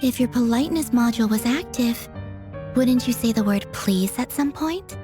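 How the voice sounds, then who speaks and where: A woman playing a serene, guileless, quietly teasing young lady in an anime-style video game, speaking in a placid, innocently blunt way. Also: on the high side, normal speed, over music, natural voice.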